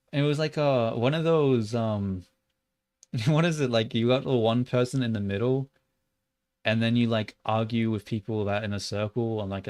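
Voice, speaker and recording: A young Australian man livestreaming while he works, chatting loosely with lots of "like" and muttering curses at his software. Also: medium pitch, no room sound. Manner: rambling and loose, heavy on "like", muttering and swearing at the software. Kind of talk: casual livestream chat while 3D modeling.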